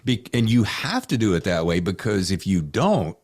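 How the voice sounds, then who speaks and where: A man's voice: fairly low pitch, very animated, normal speed, a little mellow. A man hosting a podcast interview in an effusive, showy, emphatic conversational style. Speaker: podcast host.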